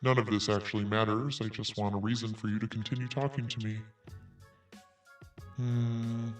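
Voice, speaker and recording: A man's voice: on the low side, steady tone, moderate pace, distant mic, soft background music. A man hosting a commentary podcast, reading messages aloud in a sarcastic tone and swearing freely. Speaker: podcast host.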